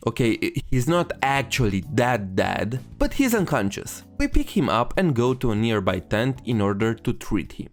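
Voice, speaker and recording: Animated narrator with swooping pitch, music behind. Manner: irreverent, sarcastic storytelling. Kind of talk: comedic scripted game story recap narration.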